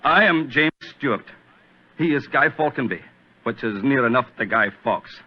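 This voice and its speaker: An actor playing an agitated, self-important gentleman, fearful and obsessed, with a dramatic, overwrought delivery in 1940s radio drama style. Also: medium pitch, expressive, normal speed, natural voice. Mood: frantic. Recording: muffled old radio recording.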